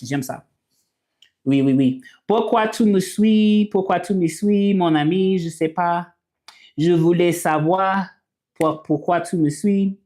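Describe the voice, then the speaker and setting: A man whose first language is English, hosting a casual livestream and reading viewer comments, talking haltingly with many fillers and restarts. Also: high-pitched, mellow. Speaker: livestream host.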